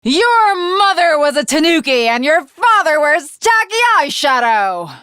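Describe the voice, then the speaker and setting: Bloodthirsty, honor-bound young samurai girl, anime game. Archaic formal speech, unhurried delivery, very dry close recording. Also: high voice, sing-song, pushed and bright, no echo, heavily acted. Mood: stern, righteous. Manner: archaic, formal, theatrical diction. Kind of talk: anime video game voice acting.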